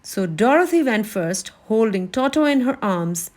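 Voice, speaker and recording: A woman's voice: a little high, expressive, a little slow, a little mellow. A woman narrating a children's novel as a read-aloud storyteller. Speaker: storybook reader.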